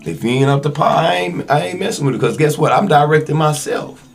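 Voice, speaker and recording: A man's voice: fairly high pitch, animated, fast, creaky, faint music behind. A man speaking as a podcast guest in casual, slangy conversation, candid and emphatic about his work as an actor. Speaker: interview guest, actor and independent filmmaker.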